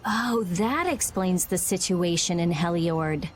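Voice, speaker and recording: A woman's voice: lowish voice, slow pace, slight background noise. A woman voicing a blunt, skeptical, brainy teenage girl in a video game dub, curt and matter-of-fact. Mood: dismissive.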